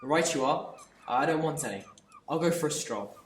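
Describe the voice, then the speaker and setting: A man's voice: a little high, animated, moderate pace, roomy sound, a little hiss or hum, close to an everyday voice. A boy playing a meek, flustered son. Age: teens. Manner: hesitant, stammering.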